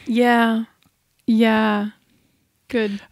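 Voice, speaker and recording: Woman, 30s, high-pitched and giggly, animated casual podcast storyteller, close dry sound. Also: extremely slow, no echo. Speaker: podcast co-host. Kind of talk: casual chat.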